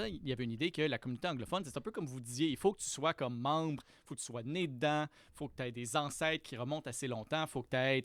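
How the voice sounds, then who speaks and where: A man from Quebec City with a Quebec French accent, a podcast guest explaining things in a thoughtful, measured way with frequent restarts. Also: medium pitch, a bit bright, no room sound. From Quebec City, Canada. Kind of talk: explanatory conversational interview.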